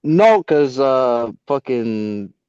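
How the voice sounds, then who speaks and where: A man's voice: mid-range voice, expressive, extremely slow, a little mellow, clean tone, no room sound, muffled recording. A man co-hosting a podcast, teasing his friends with laughter in casual chat. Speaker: podcast co-host.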